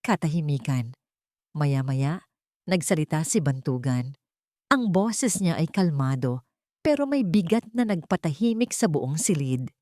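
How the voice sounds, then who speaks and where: A Filipino woman narrates a dramatic love story in scripted style. Her voice sits fairly low, and the recording is dry and close, with very little room sound. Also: very animated, unhurried, dark tone, dry recording.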